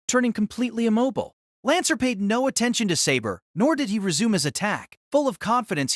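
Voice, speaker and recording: A man tells a fantasy story in a steady, even narration. His voice is a touch high and the sound is dry and close, with no room around it. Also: no room sound. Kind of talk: scripted audiobook narration.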